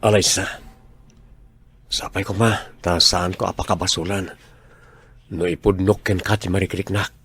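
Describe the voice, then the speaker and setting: A man's voice: sits low, flat delivery, moderate pace, long pauses, fairly bright tone, husky, faint music behind, full character voice. A man playing an earnest young suitor who pleads his love in a radio drama. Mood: pleading.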